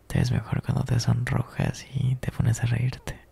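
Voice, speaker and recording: A man doing an intimate ASMR roleplay close to the mic, breathy and mostly whispered, with a flirtatious, teasing, hesitant delivery. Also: mid-range voice, little pitch movement, measured pace, mellow. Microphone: close ASMR microphone.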